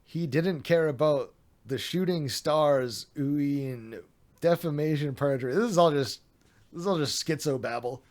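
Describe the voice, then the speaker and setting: A man's voice: on the high side, unhurried, a little mellow. A man streaming, reading a document aloud with sarcastic, mocking asides, his voice getting a little worn.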